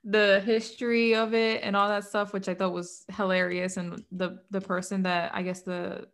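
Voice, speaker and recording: A woman's voice: mid-range voice, little pitch movement, normal speed. A woman from Puerto Rico co-hosting a podcast in casual chat, chatty and laughing often, with lots of "like.". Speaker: podcast co-host.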